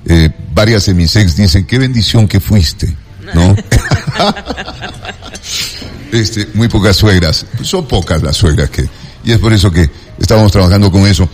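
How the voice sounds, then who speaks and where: A man with an Ecuadorian accent hosting a casual talk show, effusive and digressive, often halting and repeating himself as he chats. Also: low-pitched, faint music behind. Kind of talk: casual rambling monologue and interview chat.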